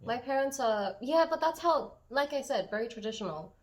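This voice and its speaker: A woman's voice: a little high, animated, normal speed. Chatty, rambling woman co-host. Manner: chatty, rambling, self-deprecating, laughs often. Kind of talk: casual chat.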